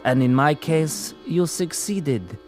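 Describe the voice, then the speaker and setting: An actor in a radio drama playing the solemn, accusing ghost of a murdered male camp prisoner, measured, formal and coldly ironic. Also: fairly high pitch, expressive, a little mellow, faint music behind. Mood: stern, condemning.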